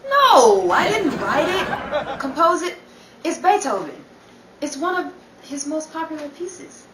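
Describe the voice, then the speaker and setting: A woman's voice: high voice, sing-song, takes it slowly, crowd noise, full character voice. An actress playing a dry, witty woman writer in a 1930s radio comedy, arch and theatrical. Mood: lofty. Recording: roomy, distant, noisy stage-like recording.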